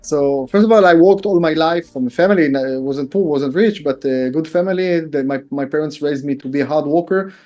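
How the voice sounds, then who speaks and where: A man's voice: fairly high pitch, fairly quick, hardly any pauses, warm tone, soft background music. A man in his forties from Israel, speaking English with an Israeli accent as a guest in an interview, earnest and full of fillers and repeated phrases. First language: Hebrew. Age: 40s. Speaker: guest author and business consultant. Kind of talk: casual interview talk.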